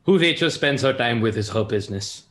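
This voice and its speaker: A man puts on a brooding vigilante billionaire for a comic table read of a film script. He is terse and grave, taking himself entirely seriously. His pitch sits in the middle of the male range. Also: lively intonation, a little fast, a bit bright, lightly acted. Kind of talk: online comedy table read.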